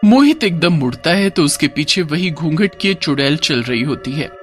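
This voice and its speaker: A man's voice: on the high side, lively intonation, moderate pace, soft background music. A man narrating a scripted, dramatic story as a storyteller.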